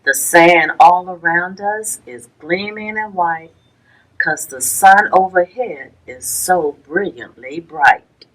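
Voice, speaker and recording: A woman reading a rhyming children's picture book aloud as a story-time reader, with deliberate, rhythmic phrasing for young listeners. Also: on the low side, low-quality recording.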